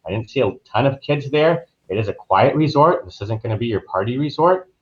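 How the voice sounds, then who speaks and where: A man's voice: medium pitch, lively intonation, fairly quick. A man speaking as an interviewed travel advisor, explaining things in a casual, informal, loosely phrased way. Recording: dull, muffled lo-fi audio.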